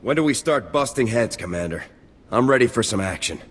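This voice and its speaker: A man playing a gruff, cocky sergeant in a video game, with a curt, dismissive way of talking. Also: mid-range voice, animated, a little fast, long reverb tail, slight background noise, natural voice. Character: gruff, cocky male military sergeant with a harsh temper. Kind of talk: video game cutscene acting.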